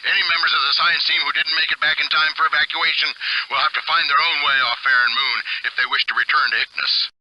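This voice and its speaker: An actor playing a formal, commanding military general giving measured, authoritative announcements over a radio in a video game. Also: male voice, on the high side, fairly even intonation, highs cut off.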